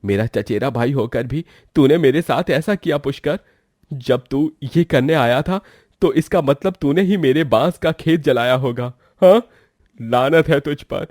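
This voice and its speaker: A man's voice: medium pitch, a little slow, darkish tone, no echo, lightly acted. Man doing an honest village guy, firm and fed up, dry sound. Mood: indignant. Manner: indignant, firm. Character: honest village man running a roadside eatery, righteous.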